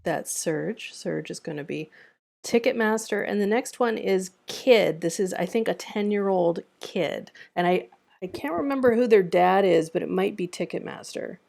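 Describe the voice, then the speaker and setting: A woman's voice: medium pitch, moderate pace, vocal fry, a little mellow. A woman casually hosting and organizing an online table read over a group voice chat.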